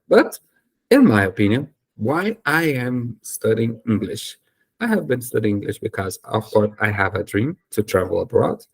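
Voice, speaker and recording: Young Brazilian man, Portuguese-accented English, low gravelly voice, hesitant and self-correcting vlog delivery. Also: a little mellow. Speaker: YouTuber and English learner. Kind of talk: casual solo talk to camera.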